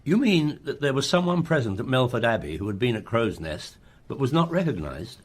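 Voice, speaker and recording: Quick, husky gentleman sleuth. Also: mid-range voice, sing-song. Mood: puzzled. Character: inquisitive gentleman amateur sleuth, polite and earnest. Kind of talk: radio mystery drama acting.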